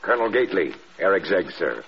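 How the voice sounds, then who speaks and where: Stiff, defensive colonel: deep, rapid, clipped, dull vintage radio sound. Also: male voice, very animated, music in the background. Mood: stiff, resentful. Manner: clipped, formal, coolly defensive. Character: stiff, resentful male air force colonel under arrest. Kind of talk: mid-century radio drama acting. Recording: dull, noisy old radio recording.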